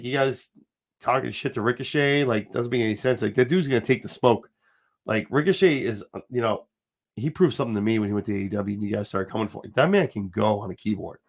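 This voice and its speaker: A man in his forties with a slight cold, hosting a casual commentary livestream in a rambling, emphatic way, talking straight to viewers. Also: medium pitch. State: has a cold, sniffling. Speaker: show host.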